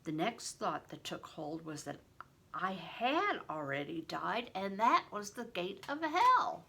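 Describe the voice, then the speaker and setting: An older woman reading a novel aloud in an expressive, deliberate storytelling manner, stressing words for drama. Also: medium pitch, vocal fry, breathy edge. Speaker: reader, retired reading teacher. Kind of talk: expressive audiobook-style read-aloud of a novel.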